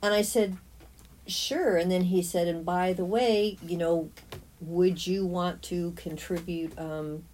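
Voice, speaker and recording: A woman's voice: on the low side, steady tone, takes it slowly, darkish tone, slight background noise. A woman in her sixties talking casually as an interviewed artist. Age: 60s. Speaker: mosaic artist being interviewed.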